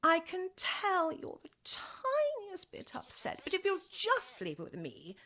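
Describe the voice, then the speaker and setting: A woman's voice: very high voice, huge pitch swings, measured pace, pushed and bright, exaggerated voice. Woman acting a gushing British agency boss, wildly swooping voice. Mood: flustered, placating. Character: gushing, evasive, put-upon woman running a dating agency. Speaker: actor in a radio play. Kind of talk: radio comedy play acting.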